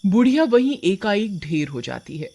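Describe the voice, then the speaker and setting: Brief: male storyteller, high-pitched and lively, dramatic scripted horror narration with clear music behind. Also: normal speed, very noisy.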